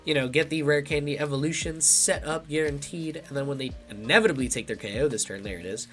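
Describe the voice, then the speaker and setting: A man's voice: on the high side, fast talker, fairly bright tone, soft background music. A young man with a congested, slightly nasal voice, casually thinking aloud while commentating his own card game match. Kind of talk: live gameplay commentary.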